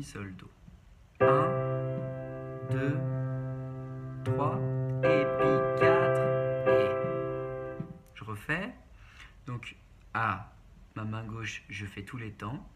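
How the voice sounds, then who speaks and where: Male piano tutor, very unhurried pace, medium pitch, short instructional phrases, step-by-step, loud piano playing alongside. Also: animated. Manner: short instructional phrases, naming notes one by one. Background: keyboard piano being played.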